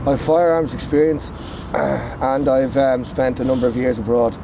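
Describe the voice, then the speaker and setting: A man's voice: medium pitch, steady tone, moderate pace, vocal fry. A man, a volunteer fighter, talking bluntly and emphatically in an outdoor interview. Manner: blunt and emphatic, repeating words. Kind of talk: on-camera interview. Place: city square outdoors. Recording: noisy outdoor street recording. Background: traffic and siren noise.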